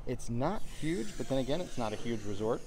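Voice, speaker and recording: A man narrates a walking tour at a middle pitch, calm and informative as he points things out. He speaks over a handheld camera while walking, with faint outdoor ambience behind him. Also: brisk, noisy background. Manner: informative, steady guide-like delivery. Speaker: resort tour vlogger. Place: outdoors and inside a resort, walking.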